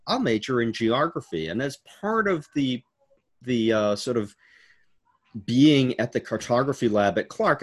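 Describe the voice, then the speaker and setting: A man in his sixties giving a halting, storytelling answer in an informal virtual interview, with many fillers and the odd laugh. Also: on the low side. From United States. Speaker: keynote speaker. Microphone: video call.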